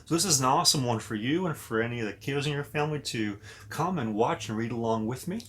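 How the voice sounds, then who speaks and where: A man reading a children's story aloud as a pastor, pausing to talk directly and expressively to young listeners. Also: mid-range voice, expressive, a bit bright.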